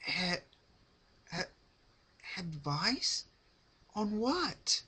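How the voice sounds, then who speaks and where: A man voicing a frightened, refined female cartoon pony with a raspy, breathy voice, stammering and gasping. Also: high-pitched, sing-song, extremely slow, pushed and bright, slight background noise, pitched above the performer's own voice, full character voice. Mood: bewildered. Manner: stammering, gasping between words.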